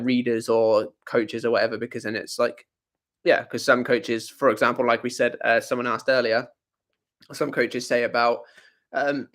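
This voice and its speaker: A man's voice: medium pitch, a bit bright. A young man hosting a live Q&A, reading out viewer comments and answering them in a casual, rambling way that often corrects itself. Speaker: host.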